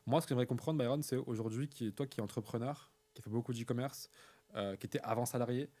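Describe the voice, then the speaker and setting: Fast-talking young male host. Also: medium pitch. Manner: fast, energetic, repeats words for rhythm. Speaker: host and e-commerce coach. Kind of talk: casual interview hosting.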